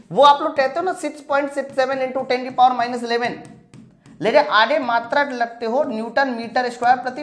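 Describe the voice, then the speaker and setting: A woman teaching an exam-prep science class, emphatically working through questions, prompting students and repeating key points. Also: a little high. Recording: roomy echo.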